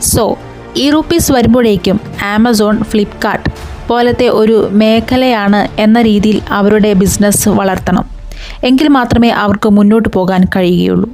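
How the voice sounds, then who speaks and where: A woman's voice: on the high side, darkish tone. A woman hosting an explainer video, talking viewers through it step by step with rhetorical questions. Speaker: video channel host.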